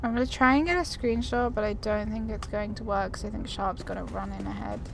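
Chatty woman gamer over loud game noise. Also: mid-range voice, fairly quick. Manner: chatty, thinking aloud. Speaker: gaming video host. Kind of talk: casual gameplay commentary.

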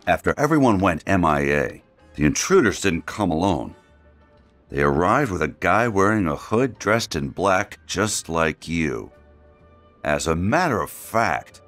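An actor playing a wary, heroic space ranger action figure in a video game, formal and commanding. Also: male voice, low-pitched, sing-song, unhurried, a bit bright, soft background music, full character voice. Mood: serious, questioning. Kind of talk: animated video game dialogue.

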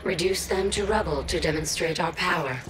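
A woman's voice: on the low side, fairly quick, lightly acted, low-quality recording. A woman playing an emotionless alien computer advisor in a game, formal, clinical and detached. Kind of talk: scripted game announcements and briefings. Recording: voice under very loud music.

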